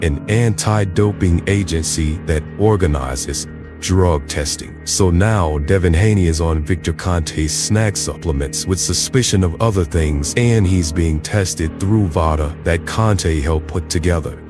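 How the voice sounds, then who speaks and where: Man, very low voice, steady step-by-step recounting, music playing behind. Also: measured pace. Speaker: boxing commentator. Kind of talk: reading out a timeline.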